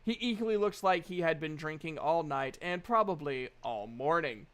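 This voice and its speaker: A man with a smooth, fairly high voice and a Southern American accent runs a fantasy tabletop game. He narrates scenes to his players as game master, in a clean, resonant tone. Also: expressive, normal speed, a bit bright.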